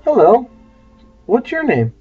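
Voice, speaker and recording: A woman's voice: huge pitch swings, slow, narrow, tinny audio, soft background music, exaggerated voice. A woman reading aloud voices a grubby, miserable young boy who talks in a sulky, wound-up way. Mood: cheeky. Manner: sulky and wound up, voice drops low when spooked.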